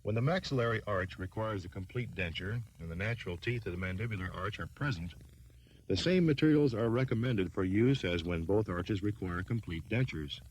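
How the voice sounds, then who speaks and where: A man reading scripted training film narration in a formal, measured, instructional manner. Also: lowish voice, a little hiss or hum, rough recording.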